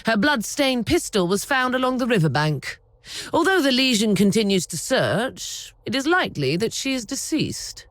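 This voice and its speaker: Grave military woman, formal game dialogue, lively intonation, close dry sound. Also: a little high, dry recording. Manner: formal, measured report delivery. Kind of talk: video game voice acting.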